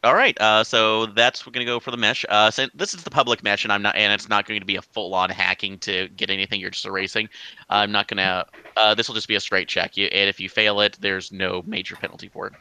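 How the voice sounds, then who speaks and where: A man's voice: fairly low pitch, steady tone, fast, bright, forceful tone, clean tone, no echo, poor audio. A man acting as game master for an online tabletop game, talking in a loose, rambling way full of fillers. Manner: rambling, filler-heavy, easygoing.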